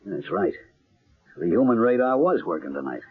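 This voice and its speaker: A man's voice: lowish voice, very animated, normal speed, slightly rough, exaggerated voice. A man playing a stage psychic's loyal, streetwise partner and showman in an old-time radio drama. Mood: casual, low-key. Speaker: actor. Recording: muffled, narrow-band old radio recording.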